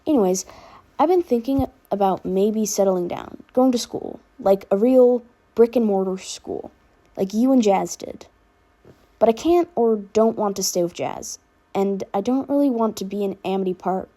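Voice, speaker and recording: A woman voicing a playful, cheeky younger sister, a girl with a soft feminine voice, in a fan fiction reading. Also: medium pitch, moderate pace, close to an everyday voice.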